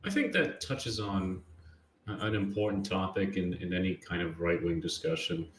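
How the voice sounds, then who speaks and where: Male discussion participant, deep voice, conversational delivery, roomy audio. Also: moderate pace. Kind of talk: casual political discussion. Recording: roomy sound with faint background noise.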